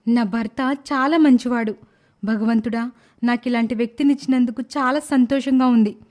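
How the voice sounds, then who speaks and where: A woman playing a caring but easily exasperated young mother, earnest and expressive, in an animated moral story. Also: a little high, moderate pace, close to an everyday voice. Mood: grateful.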